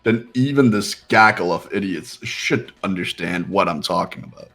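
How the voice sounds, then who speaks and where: Man as a deep-voiced arrogant young heir, cold and dismissive. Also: moderate pace, fairly bright tone, soft background music. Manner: cold, condescending. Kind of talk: fan voice acting of a game.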